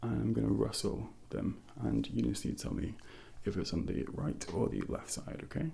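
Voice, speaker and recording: A soft-spoken man playing a doctor in an ASMR role play, murmuring gentle, reassuring instructions close to the mic and sometimes whispering. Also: lowish voice, moderate pace, gravelly. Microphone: close-up ASMR microphone.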